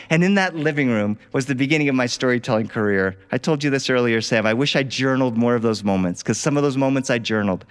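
A man telling a personal story live, as a practiced storyteller does. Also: mid-range voice. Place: studio, live performance.

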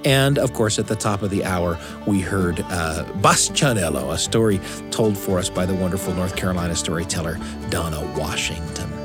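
A man hosting a radio storytelling show, speaking with expressive, theatrical emphasis. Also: low voice, normal speed, over music. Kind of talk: storytelling radio presenting and chat.

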